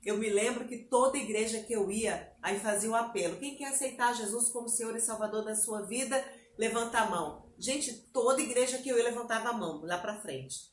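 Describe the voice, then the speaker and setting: A woman's voice: fairly high pitch, brisk, echoey room. A Brazilian woman with a Bahian accent, telling her conversion story in a casual chat and acting out her own past words. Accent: Bahian Brazilian Portuguese. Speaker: interview guest. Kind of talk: personal testimony, casual chat.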